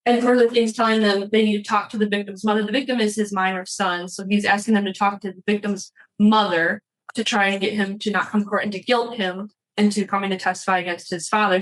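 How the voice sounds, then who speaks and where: Woman prosecutor, medium-pitched voice. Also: steady tone, quick pace, low-quality recording. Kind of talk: courtroom argument.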